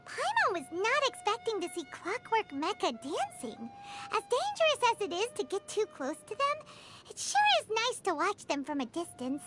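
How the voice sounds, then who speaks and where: Super high squeaky little fairy sidekick, excitable n self-important, swoops all over, game dialogue w music. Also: female voice, moderate pace, heavily acted. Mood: excited. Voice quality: squeaky, childlike. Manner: refers to self in third person, exclaiming. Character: small flying childlike fairy companion, excitable and self-important. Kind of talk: scripted video game dialogue. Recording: music behind the voice.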